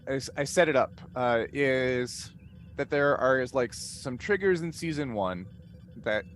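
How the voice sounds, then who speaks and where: A man chatting casually on a panel video call, explaining things in a halting, discursive way. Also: medium pitch, fairly bright tone. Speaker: cast member and game master. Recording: noisy video call audio.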